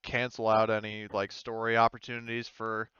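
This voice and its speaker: Clear-voiced man, slightly dull dry mic. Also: lowish voice, completely flat delivery, moderate pace, pushed and bright, dry recording. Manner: informal, swears casually. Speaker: game master. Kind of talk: casual chat running a tabletop roleplaying game.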